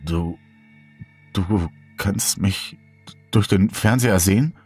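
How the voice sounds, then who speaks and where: A man's voice: lowish voice, takes it slowly, darkish tone, breathy edge, faint music behind, exaggerated voice. A man voicing a nervous, hesitant young grandson in a horror story, stammering and apologetic. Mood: stunned.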